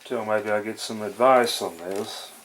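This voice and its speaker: A man's voice: on the low side, a little slow, a bit bright, poor audio. A man giving casual, unscripted narration of a hands-on repair, folksy and hesitant as he thinks aloud. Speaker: hobbyist demonstrating an electronics repair. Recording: noticeable background noise with clicks.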